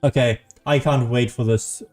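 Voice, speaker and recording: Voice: mid-range voice, fairly even intonation, moderate pace, a little mellow. A streamer giving casual, playful and sarcastic commentary while playing a video game. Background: game music.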